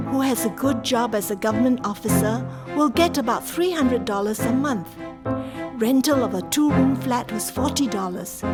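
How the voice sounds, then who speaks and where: A woman reading scripted narration for a radio drama. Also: fairly high pitch.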